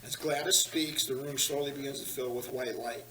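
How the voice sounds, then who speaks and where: A man's voice: mid-range voice, steady tone, moderate pace, pushed and bright. A man reading stage directions as narrator at a screenplay table read in a meeting room. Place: large meeting room, group around tables. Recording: echoey, distant, slightly noisy room.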